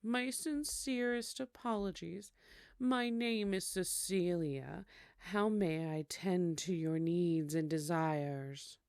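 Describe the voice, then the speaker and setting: A close, dry, unhurried voice, a woman playing a seductive vampire in an audio drama. She is coy and teasing, wrapping flirtation in elegant, mock-polite courtesy. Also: on the low side, steady tone, darkish tone, clear, resonant tone, dry recording. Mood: flirtatious. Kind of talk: scripted ASMR audio roleplay acting.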